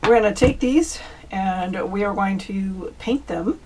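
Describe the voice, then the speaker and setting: A woman's voice: medium pitch, unhurried, a little mellow. A woman hosting a casual step-by-step craft tutorial, chatty and informal as she talks to viewers. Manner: chatty, informal, addresses viewers as you guys. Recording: distant mic, faint background noise.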